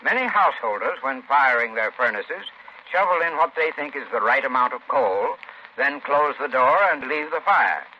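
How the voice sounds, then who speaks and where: A man's voice: medium pitch, lively intonation, normal speed, sharp tone, lo-fi sound, slight background noise. A man giving formal, instructive scripted advice in a sponsor's spot on an old 1930s radio recording.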